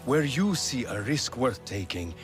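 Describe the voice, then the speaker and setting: An actor playing a stern, grieving middle-aged male alien clan elder in a video game cutscene, speaking in a grave, measured way. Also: mid-range voice, very animated, faint music behind.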